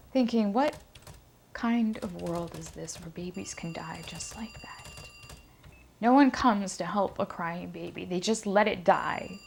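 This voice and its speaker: Woman acting nervous sleepless daughter, halting confession, bit of fry in her voice, noisy background. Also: medium pitch. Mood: distressed, haunted. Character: anxious, guilt-ridden sleepless daughter recalling family secrets. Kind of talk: dramatic monologue, intimate recollection.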